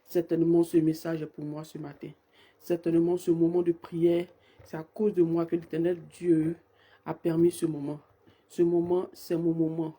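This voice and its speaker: Husky-voiced woman praying, hoarse with a cold. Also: lowish voice, level tone, moderate pace, warm tone. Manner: fervent, repetitive invocations. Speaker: prayer leader. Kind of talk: live-streamed morning prayer.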